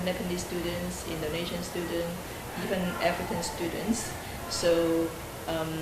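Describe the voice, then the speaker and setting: A woman from the school staff answering interview questions hesitantly, with many pauses and fillers. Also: fairly low pitch. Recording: echoey room with loud noise.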